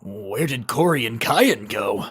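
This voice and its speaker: A man's voice: medium pitch, expressive, slow pace, fairly bright tone, slightly rough, no echo, studio quality, full character voice. A man voicing a stern, curt fantasy warrior in a cartoon, with a dramatic delivery. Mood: solemn.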